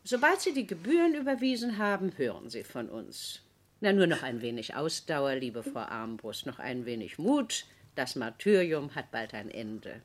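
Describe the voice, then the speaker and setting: A woman's voice: extremely low pitch, sing-song, moderate pace, exaggerated voice. An actress in a radio play playing a solicitous, officious bureau woman with a fussy, soothing, formal manner. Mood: reassuring. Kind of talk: German radio play acting.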